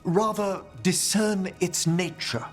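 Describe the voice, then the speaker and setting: An actor playing an erudite, patient necromancer in a video game, with a formal, precise and theatrical delivery. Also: male voice, high-pitched, steady tone, takes it slowly, over loud music. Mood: pleased, intrigued. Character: erudite, genteel male necromancer scholar, patient and curious.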